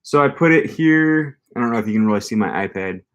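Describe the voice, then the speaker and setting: A man's voice: medium pitch, expressive, fast talker, dull audio. A young man talking casually on a live stream from a small room, rambling with many ums and restarts. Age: young adult. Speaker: language learning streamer. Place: small room with bookshelf, indoors. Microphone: webcam at desk.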